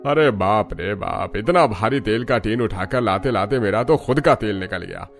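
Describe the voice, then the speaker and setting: A man's voice: on the low side, lively intonation, normal speed, vocal fry, soft background music. A man voicing a middle-aged, food-loving father-in-law who grumbles and exaggerates in a family cartoon. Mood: weary grumbling.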